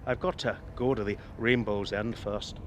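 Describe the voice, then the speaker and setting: An actor playing a chatty, wily older ex-cabbie in a radio drama, with a Northern Irish accent and a wry, insistent manner. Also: male voice, fairly low pitch, fairly even intonation, normal speed, a little hiss or hum, lightly acted. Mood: stubborn. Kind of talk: naturalistic radio drama acting.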